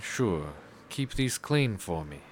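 Deep-voiced, wry noir investigator. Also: male voice, sing-song, slow pace, a little hiss or hum, heavily acted. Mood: dry, wry. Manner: terse, dry, wisecracking, casual slangy phrasing. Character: laconic, wry male WWI veteran turned noir investigator. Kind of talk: 1930s hardboiled noir audio drama acting.

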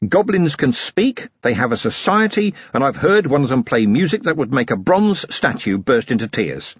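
A man voicing a gruff, weary middle-aged police commander who speaks bluntly and doggedly. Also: mid-range voice, very animated, normal speed, no room sound, dull audio, pitched above the performer's own voice. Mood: determined.

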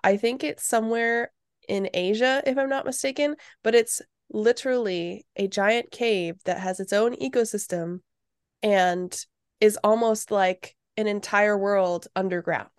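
A woman's voice: mid-range voice, unhurried, clear, resonant tone, no room sound, studio quality. A woman co-hosting a podcast, chatting and reacting casually in a joking, slangy, informal way. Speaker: podcast co-host.

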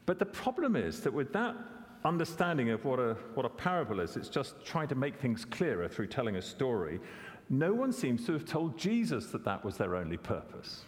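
A man's voice: mid-range voice, sing-song, moderate pace, in a large hall. A man preaching a sermon, conversational and questioning, often repeating words as he corrects himself. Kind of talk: expository sermon.

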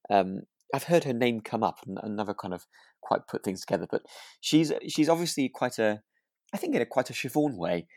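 A man's voice: mid-range voice, expressive, fairly quick, a little mellow, dry recording, clean studio sound. A British man co-hosting a podcast, chatting casually in a hesitant, meandering way. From United Kingdom. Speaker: podcast co-host. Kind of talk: casual chat.

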